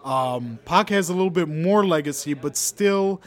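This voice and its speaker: A man's voice: on the high side, expressive, normal speed. Man, podcast guest, chatty, hedges a lot, hookah bar setting. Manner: talkative, hedges with if I'm not mistaken. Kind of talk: casual chat.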